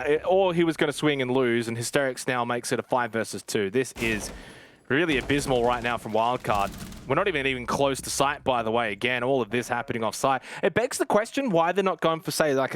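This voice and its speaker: A man's voice: mid-range voice, fairly bright tone. Male esports caster over noisy background. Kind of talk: live esports match commentary.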